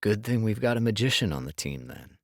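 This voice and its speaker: A man playing an easygoing, sensitive husband in a con crew, speaking in a measured way in an audiobook drama. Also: lowish voice, very animated, creaky, no echo, clean studio sound, full character voice. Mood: dry, amused. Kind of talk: full-cast audiobook dialogue acting.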